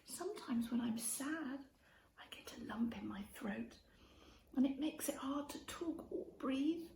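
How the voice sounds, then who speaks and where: A woman reading a children's story aloud in an expressive way, speaking directly to young listeners and prompting them. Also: high voice, a little slow, vocal fry. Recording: roomy echo with faint background noise.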